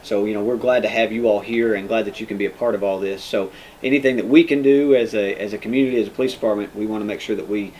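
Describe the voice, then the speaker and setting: A man with an American accent hosting a casual interview as a police sergeant, in an informal, halting way. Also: lowish voice, steady tone, a little mellow, poor audio. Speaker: podcast host, police sergeant. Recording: noticeable background noise.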